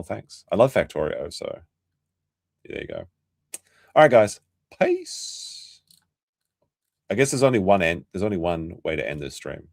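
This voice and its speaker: A man's voice: deep voice, no room sound, clean studio sound. A man hosting a late-night livestream, rambling in an offhand way and restarting his sentences. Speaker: streamer host.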